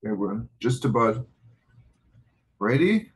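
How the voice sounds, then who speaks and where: A man's voice: mid-range voice, sing-song, takes it slowly. A man hosting a live online news show, making chatty, slightly hesitant announcements from home. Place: home in Hawaii.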